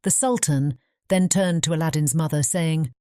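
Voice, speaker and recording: A woman reads a scripted storybook in a measured, formal way. Her voice sits very low and has a dark, mellow tone. The recording is close and dry. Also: no room sound. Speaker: audiobook narrator.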